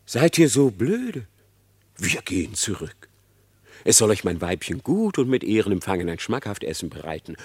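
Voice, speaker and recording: An actor playing a sly, flattering, treacherous male fox in a verse radio play, with wheedling false courtesy. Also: on the low side, moderate pace, slightly rough. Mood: taunting. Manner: wheedling, theatrical, feigned courtesy.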